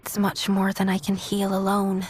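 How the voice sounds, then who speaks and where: A woman playing a gentle, compassionate young oracle healer in a fantasy game, with a soft, breathy, hesitant delivery. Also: mid-range voice, completely flat delivery, moderate pace, lightly acted. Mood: tender, sorrowful. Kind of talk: video game cutscene acting.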